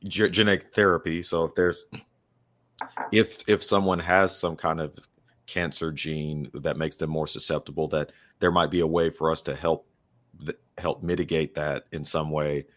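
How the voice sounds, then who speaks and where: A man with a low voice hosts a conversational podcast. He asks long, searching questions, circling back and restarting as he thinks them through. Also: flat delivery, moderate pace, a bit bright. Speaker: podcast host. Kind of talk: casual interview conversation.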